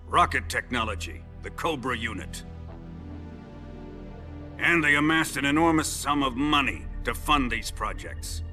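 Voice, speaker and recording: An actor playing a menacing, grandiose middle-aged Soviet colonel in a video game cutscene, speaking in a pompous, deliberate, theatrical way over music. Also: male voice, medium pitch, sharp tone. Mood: grave, expository.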